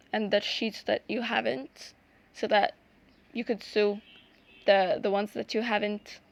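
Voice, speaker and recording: A young woman whose first language is Arabic, talking through a craft tutorial in a hesitant, casual way with many pauses and restarts. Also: fairly high pitch, gravelly, dry recording. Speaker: art vlogger.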